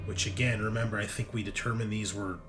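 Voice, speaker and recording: Low-voiced male game master. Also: fairly even intonation, fast, a bit bright, soft background music. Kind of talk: narrating a tabletop roleplaying game, improvised. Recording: faint background noise.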